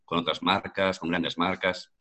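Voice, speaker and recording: A man around forty with a Castilian accent from Madrid speaks in a low-pitched voice. He is a voice-over professional giving conversational answers as an interview guest. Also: fairly even intonation, a little slow, pushed and bright. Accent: Castilian Spanish from Madrid. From Madrid, Spain.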